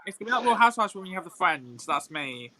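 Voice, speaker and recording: A man's voice: high-pitched, very animated, fast, very noisy. A man co-hosting a casual chat show, making playful, sassy jokes. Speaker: co-host.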